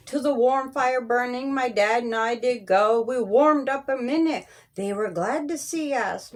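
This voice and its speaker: A woman reading her own children's story aloud in rhyming verse, with a sing-song cadence. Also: high-pitched.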